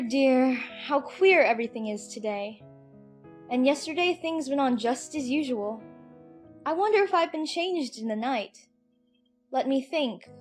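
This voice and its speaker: A woman playing a curious, chattering young girl who muses aloud to herself in a dramatized audiobook. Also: sits high. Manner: talking to herself, musing aloud. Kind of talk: dramatized audiobook character acting.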